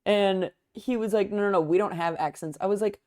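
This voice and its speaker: A woman's voice: mid-range voice, a little fast, a little mellow. A young woman from Chicago telling a story in a casual podcast chat, often laughing, swearing and acting out what people said. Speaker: podcast co-host.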